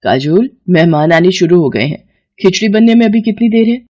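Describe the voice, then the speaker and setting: A woman's voice: fairly low pitch, animated, fairly quick, dark tone, no room sound, dull audio. A woman voicing a stern, critical middle-aged mother-in-law in a family story. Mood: bossy.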